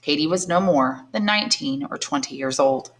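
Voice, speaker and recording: A woman, an English teacher, reading a classic novel aloud in steady, clear storytelling. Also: deep voice, moderate pace. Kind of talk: audiobook narration.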